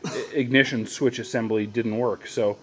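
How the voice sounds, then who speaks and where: A man's voice: medium pitch, steady tone, normal speed, distant mic. A young man working in a garage, asking questions and explaining car repairs in a practical way. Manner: practical, questioning, matter-of-fact. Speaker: car restorer and show host. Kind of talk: casual workshop chat. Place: garage workshop. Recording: echoey garage with music.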